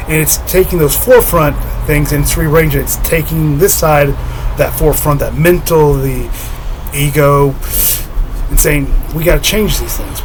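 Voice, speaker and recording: A man talking slowly and dreamily to the camera, giggling softly and trailing off, his voice slightly hoarse. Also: on the high side, background noise. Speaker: spiritual vlogger. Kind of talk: reflective solo monologue.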